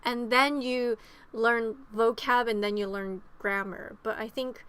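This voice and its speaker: Young woman, podcast co-host, higher voice, chatty and full of fillers. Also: fairly even intonation, unhurried, a bit bright, slight background noise. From Los Angeles. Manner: rambling, many fillers and restarts. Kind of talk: casual chat.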